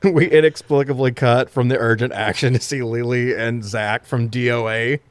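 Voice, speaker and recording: A man's voice: mid-range voice, fairly even intonation, normal speed, no echo. A man hosting a podcast, recapping a film in a casual, comedic way with plenty of swearing and sarcastic riffing.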